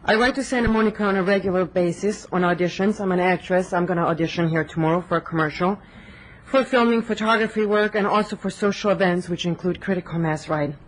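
A woman's voice: fairly low pitch, quick pace, poor audio. A young woman from Hungary giving a direct public comment at a city council meeting into a podium microphone in a council chamber.

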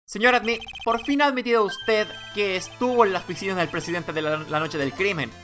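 A man's voice: high-pitched, a little fast, music in the background, lightly acted. A man voicing an earnest, flustered young defence lawyer in a courtroom game, stammering and urgent. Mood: accusing.

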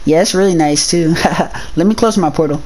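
A performer playing an excitable teenage demon boy on the run, talking in casual slang in an improvised video-game roleplay. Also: mid-range voice, normal speed, close to an everyday voice. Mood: relaxed.

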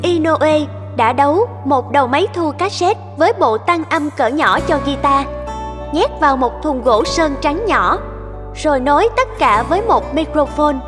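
A woman reading scripted narration for an animated story. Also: sits high, moderate pace, in a large hall. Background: background music.

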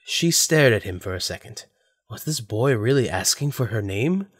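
A man's voice: medium pitch, expressive, moderate pace, slightly rough, no echo, studio quality. A man narrating a scripted audio drama as its storyteller.

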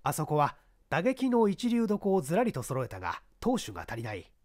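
抑揚豊かな男性の朗読. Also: male voice, a little high, wildly swooping pitch, brisk, darkish tone, no room sound, studio quality. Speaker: audiobook narrator. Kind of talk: dramatic scripted narration of a mystery story.

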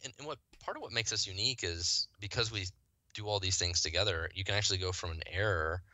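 A man's voice: low-pitched, fast talker, sharp tone, dry recording. A man from the US Midwest, a guest on a podcast, explaining his product casually and with enthusiasm. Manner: fluent, enthusiastic explaining with restarts. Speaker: guest, software company founder.

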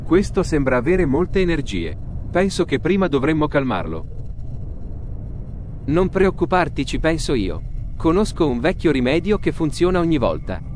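A man voicing a brisk, practical party helper in a cartoon fan dub, over background noise. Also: fairly high pitch, very animated, a little mellow.

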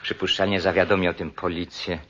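A man acting in a radio drama as a coldly calculating, philandering doctor who plots murder in a deliberate, matter-of-fact way. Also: low voice, flat delivery, fairly bright tone, muffled recording. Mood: cold, resolved.

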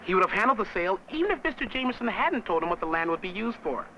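A man's voice: high-pitched, sing-song, very fast, pushed and bright, slight background noise, exaggerated voice, rough recording. A young American man playing a cheerful college student who narrates a 1970s educational film in a folksy, chatty way. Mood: explanatory. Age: young adult. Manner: folksy, chatty, addressing the viewer. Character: cheerful young college student guiding viewers round his street. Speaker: on-camera narrator.

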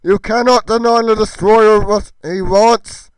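Boastful aging warlord for game roleplay, strained high shout, pompous and combative. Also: male voice, fairly even intonation, unhurried, slight background noise, rough recording. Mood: furious. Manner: pompous, shouting, speaks of himself in third person. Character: boastful, belligerent aging warrior villain, loud and bellowing. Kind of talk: theatrical fantasy roleplay acting.

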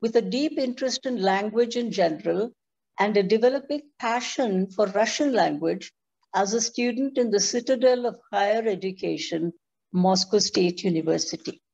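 A woman's voice: medium pitch, moderate pace, gravelly, a little mellow, highs cut off. Older woman reading a talk. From India. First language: Tamil. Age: 70s. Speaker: conference speaker.

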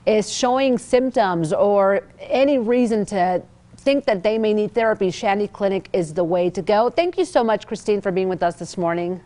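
A woman's voice: mid-range voice, normal speed, warm tone. A woman hosting a morning TV show in the studio, running a sponsored interview with an emphatic, bubbly presenter delivery.

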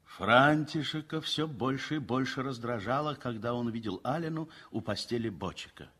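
An older man narrating a 1960s radio play in an expressive storytelling manner. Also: mid-range voice, normal speed, slightly rough. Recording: old radio play with faint music.

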